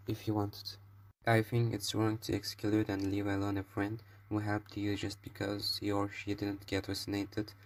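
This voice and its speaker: A young male student with a Turkish accent presents a prepared opinion. His voice sits fairly low and barely moves in pitch. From Turkey. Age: teens.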